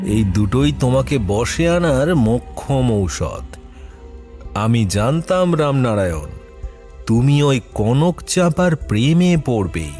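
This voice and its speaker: A sly, power-hungry steward in an audio drama, acted by a man, speaking slowly with wide swings of pitch. He is calculating and deliberate, with music playing behind him. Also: a little mellow. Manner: slow, gloating, deliberate. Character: scheming, power-hungry male estate steward, sly villain. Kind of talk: dramatised horror audio story.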